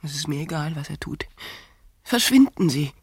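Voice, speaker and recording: A female actor playing an exasperated, reproachful bride in a radio play, husky and breathy, insistent and scolding. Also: low-pitched, expressive, moderate pace, a little mellow, no room sound, clean studio sound, heavily acted. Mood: irritated, insistent. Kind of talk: radio play dialogue, often hushed.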